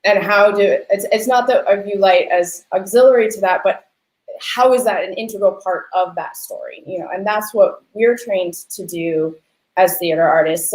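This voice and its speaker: A woman's voice: mid-range voice, darkish tone. Earnest woman explaining in an echoey room. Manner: earnest, explanatory, poses rhetorical questions. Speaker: co-host, lighting designer. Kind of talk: conversational panel discussion. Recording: roomy, echoing sound.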